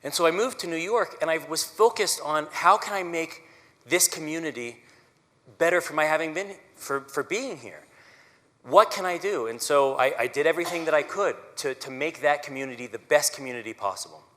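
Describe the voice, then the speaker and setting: Guy in his 30s talking earnestly to a crowd, bit higher voice, big echoey auditorium. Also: animated, a little fast, sharp tone. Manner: earnest, conversational, frequent "right?" asides. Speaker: symphony conductor giving a community talk. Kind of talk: personal public talk. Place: auditorium stage. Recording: long hall reverb.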